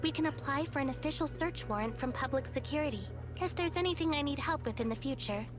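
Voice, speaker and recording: A woman acting as a female police automaton from a video game, with a high voice and calm, formal phrasing. She sounds wise and detached, explaining things in a measured way. Music plays clearly behind her. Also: natural voice. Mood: detached, philosophical. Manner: measured, formal, matter-of-fact.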